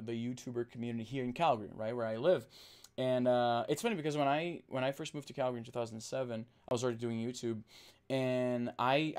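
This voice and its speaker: A man from Brazil whose first language is Portuguese, chatting casually on a livestream and reading out chat, rambling with restarts and fillers while talking deliberately slower. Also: mid-range voice, darkish tone. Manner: trained to talk slower on camera.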